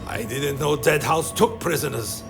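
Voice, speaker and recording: A man's voice: a little high, moderate pace, lightly acted. A man playing a brooding, bloodthirsty undead vampire fledgling, narrating a gothic radio play in a solemn, literary manner. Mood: questioning. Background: music.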